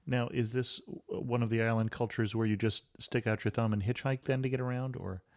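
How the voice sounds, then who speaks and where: A man's voice: mid-range voice, steady tone, fairly quick, darkish tone. A man hosting a travel podcast, asking brief, curious questions and giving short acknowledgements. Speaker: podcast host. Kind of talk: interview questions.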